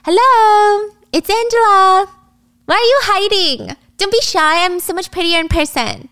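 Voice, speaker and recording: A woman's voice: extremely high pitch, unhurried, fairly bright tone, voice pushed up. A woman voicing a coquettish, two-faced married woman in a story, in a singsong, wheedling way.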